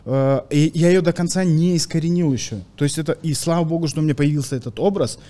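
A young man, a comedian guest from Kazakhstan, talking in a podcast studio on a studio mic, animated, with loud bursts and quiet asides. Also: a little high, fast. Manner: animated storytelling with hand gestures, swings between loud bursts and quiet asides. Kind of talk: casual podcast chat. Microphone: studio mic with headphones.

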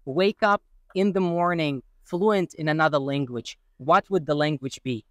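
A man's voice: a little high, moderate pace, no echo, clean studio sound. A man conducting a street interview outdoors in a city park, asking short questions and affirming answers with frequent "mm-hmm". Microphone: handheld mic held out to guests.

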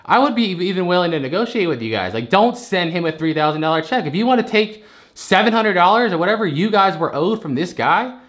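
A man's voice: sits high, animated, quick pace, a bit bright. A man, a storage unit buyer arguing his case, frustrated and rambling, repeating himself as he pushes his point. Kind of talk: heated phone argument.

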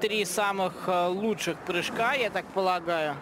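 A man giving live sports commentary in a relaxed, conversational way, often pausing to think aloud. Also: sits high, very noisy.

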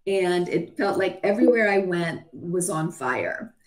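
Middle-aged woman, hoarse, slow pace, lowish pitch, webcam video call, presenting slides. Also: animated. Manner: matter-of-fact, with frequent ums. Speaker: physician sharing her own illness story. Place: home room, video call.

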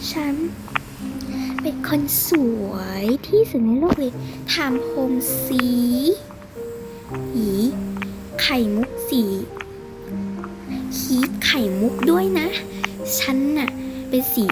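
A young female performer voicing a vain, sassy, grumbling schoolgirl with drawn-out exclamations in a game role-play drama. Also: high-pitched, lively intonation, over loud music. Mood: boastful. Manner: exclamatory, drawn-out whining interjections.

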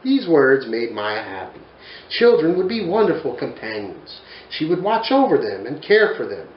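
A man's voice: a little high, normal speed, reverberant, dull audio, slight background noise. A man in his forties reading a children's picture book aloud in a bookshelf-lined study, telling it expressively. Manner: expressive, animated storytelling. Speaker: storyteller.

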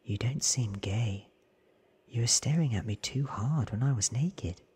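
An ancient female vampire, played by a male reader, speaks in a very breathy hush. She is weary, blunt and knowing, with slow lines made for a whispered ASMR story. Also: medium pitch.

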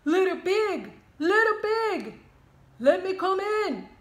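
A woman voicing a male wolf with a bad cold, pleading in a high, sniffly, stammering voice. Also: sing-song, slow pace, roomy sound, exaggerated voice.

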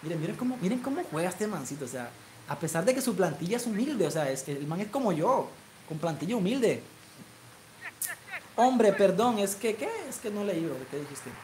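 Excitable coastal Colombian young man streaming. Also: high voice, normal speed, a little hiss or hum, rough recording. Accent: Colombian Caribbean coastal Spanish. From Caribbean coast of Colombia. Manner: excitable, shouts rising goal calls. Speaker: gaming streamer. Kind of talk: live football game commentary and chat.

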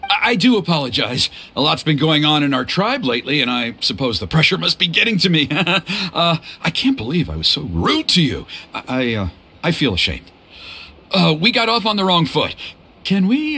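A man's voice: a little high, sing-song, normal speed, bright, forceful tone, exaggerated voice. A man voicing a stern, protective middle-aged father and tribe elder in video game dialogue. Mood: flustered. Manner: gruff, then flustered and apologetic.

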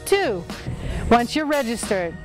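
A woman's voice: a little high, big pitch swings, slow pace, rough recording. A woman, an aquatics program manager, giving scripted step-by-step tips to the camera. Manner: clear, instructive. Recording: music bed underneath.

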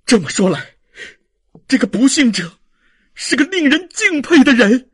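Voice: high voice, big pitch swings, a little slow, husky, pitched above the performer's own voice, full character voice. A narrator voicing an earnest, passionate male baron in an audiobook, with emphatic, declamatory delivery. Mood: fervent. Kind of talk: audiobook character acting.

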